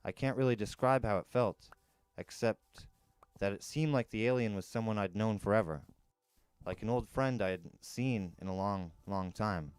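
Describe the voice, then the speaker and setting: A man's voice: lowish voice, moderate pace, darkish tone, clean tone, dry recording. A man reading a novel aloud as a first-person storyteller. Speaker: narrator, podcast storyteller.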